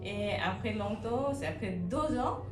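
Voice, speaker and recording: A woman talks slowly and haltingly, with many pauses and fillers. She sounds a little far from the mic, in an echoey room, and music plays behind her. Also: on the high side, lively intonation. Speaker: language student. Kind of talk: telling her learning story in French.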